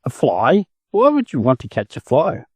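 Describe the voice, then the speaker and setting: A scruffy young farmyard chicken, acted out by a man in a storybook. He is bold and rough-spoken, with a lively, jumping pitch and a close, dry sound. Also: normal speed, dry recording. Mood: incredulous, boastful. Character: scruffy bold half-grown male farmyard chicken, brash.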